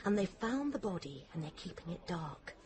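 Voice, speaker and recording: A woman's voice: on the low side, big pitch swings, normal speed, a little mellow. An actress playing a blunt, morbidly curious young English woman in a radio drama, opinionated and insistent. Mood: morbidly fascinated. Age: young adult.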